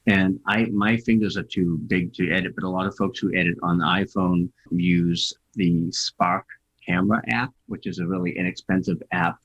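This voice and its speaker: A man's voice: sits low, steady tone, normal speed, a bit bright, slightly rough, highs cut off. A man with a Boston accent, a guest chatting casually in an interview, talkative and self-deprecating, laughing often. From Boston area.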